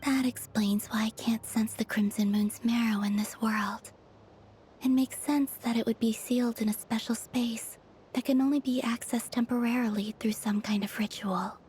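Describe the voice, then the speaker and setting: An actress playing a calm, gentle, otherworldly young woman in a fantasy game, speaking politely and softly. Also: a little high, moderate pace, a little hiss or hum, close to an everyday voice. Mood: pensive.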